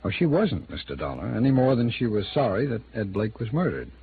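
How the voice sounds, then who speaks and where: An actor playing a wry, evasive small-town attorney in a 1950s radio drama, speaking conversationally and a little hesitantly with an American accent. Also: male voice, lowish voice, very animated, brisk. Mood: hesitant, defensive. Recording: muffled, noisy old radio drama recording.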